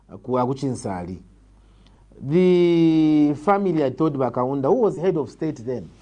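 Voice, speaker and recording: A man with a Zambian accent answering political interview questions in a measured, formal, emphatic way. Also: mid-range voice, warm tone. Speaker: political interviewee, party leader.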